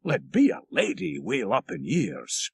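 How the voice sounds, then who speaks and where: A man's voice: mid-range voice, huge pitch swings, a little slow, vocal fry, husky, no room sound, studio quality, exaggerated voice. A man voicing a dour, moralizing old Scottish shepherd in an audiobook story, preachy and emphatic. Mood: stubborn.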